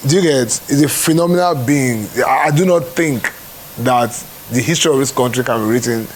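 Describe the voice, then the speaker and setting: A man's voice: mid-range voice, brisk. A Nigerian man giving an informal interview answer, repeating points for emphasis and often checking that he is understood. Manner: emphatic repetition, frequent check-in tags. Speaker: stage play producer. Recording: clearly noisy with hiss.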